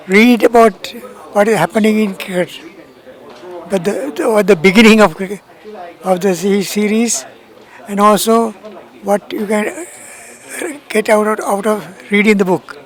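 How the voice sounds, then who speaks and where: Male official, high voice, restarts and repeats words in a soundbite. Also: rough recording. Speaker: cricket official. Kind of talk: interview comment.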